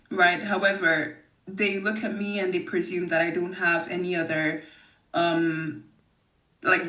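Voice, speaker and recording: A woman from Nigeria, a podcast guest talking in a lively, expressive way and laughing often. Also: mid-range voice. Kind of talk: casual conversation. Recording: roomy, distant mic.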